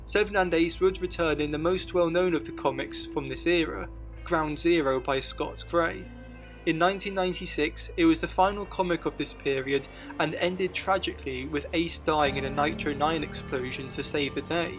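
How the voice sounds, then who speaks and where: Man, scripted documentary narration, slightly high voice, faint music behind. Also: moderate pace.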